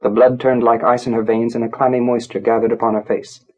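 A man narrating a literary story from a script, in a measured, grave, dramatic storytelling manner. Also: mid-range voice, flat delivery, husky, low-quality recording. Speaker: audiobook narrator.

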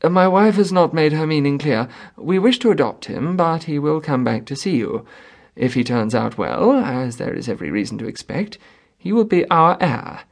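A man voicing a courteous, wealthy gentleman who reasons earnestly in a formal, measured, persuasive way. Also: a little high, lively intonation, vocal fry, pitched above the performer's own voice.

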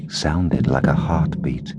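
A man, reading a fairy tale as audiobook narrator in expressive storytelling style. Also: a bass voice, takes it slowly.

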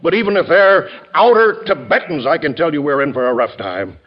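An actor playing a blustery, hard-pressed American army general in classic radio drama style, exclaiming with emphasis. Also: male voice, fairly high pitch, lively intonation, brisk. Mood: urgent, blustering. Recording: dull vintage radio recording.

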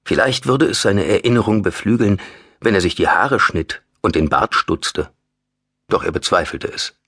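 A man's voice: low voice, normal speed, fairly bright tone, slightly rough, no echo, studio quality. A man with a standard German accent reading a thriller audiobook as dramatic scripted narration.

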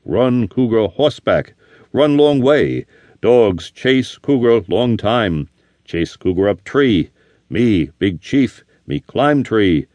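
A man's voice: on the low side, darkish tone, dry recording. A man voicing a big, strong, good-natured old buffalo hunter who speaks earnestly in broken, simple phrases. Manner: earnest, broken pidgin talk.

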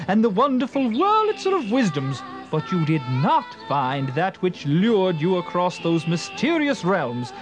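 Pompous, swooping eccentric old uncle. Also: male voice, sits high. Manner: pompous, hemming, theatrical upper-crust phrasing. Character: pompous, eccentric older titled uncle, scheming and genteel. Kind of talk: audio drama acting.

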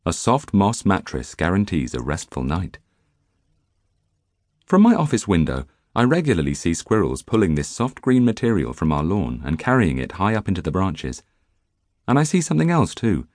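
A man narrating a nonfiction audiobook in an expressive storytelling manner, close to the microphone in a studio. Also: sits low, moderate pace, no echo. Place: dry recording studio.

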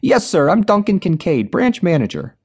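Male reader doing a scared, pleading manager; high, jumpy pitch. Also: very animated, moderate pace, husky, heavily acted. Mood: nervous. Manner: pleading, nervous, polite. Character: pudgy middle-aged male bank manager, frightened.

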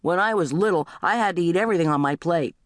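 A woman's voice: fairly low pitch, fast, no echo, clean studio sound, pitched above the performer's own voice, lightly acted. A woman voicing an indignant teenage older brother in a comic story, whining and accusing in exaggerated complaint.

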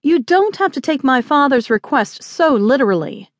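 A woman's voice: sits high, big pitch swings, normal speed, no room sound, pitched above the performer's own voice. A woman voicing a headstrong young schoolmarm in audiobook dialogue, haughty, defiant and indignant.

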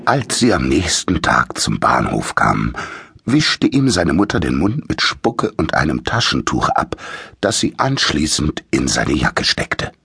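Deep gravelly man telling a children's story. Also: dry recording, clean studio sound. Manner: slow, expressive, dramatic storytelling. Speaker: audiobook narrator. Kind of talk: scripted children's story narration.

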